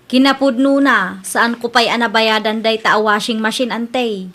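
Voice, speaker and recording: A woman's voice: fairly high pitch, steady tone, normal speed, fairly bright tone, close to an everyday voice. A woman with an Ilocano accent playing an evasive younger woman short of money, wheedling in a comedy radio drama.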